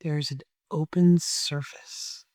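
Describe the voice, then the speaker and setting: Soft mellow meditation guide, really slow and gentle, dry close sound. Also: medium pitch, steady tone, no room sound. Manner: gentle, soothing, unhurried with long pauses.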